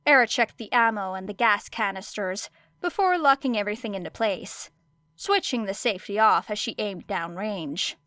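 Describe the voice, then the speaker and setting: A woman's voice: mid-range voice, moderate pace, pushed and bright, no room sound. Female audiobook narrator for scripted fiction, mid-range voice, no room sound.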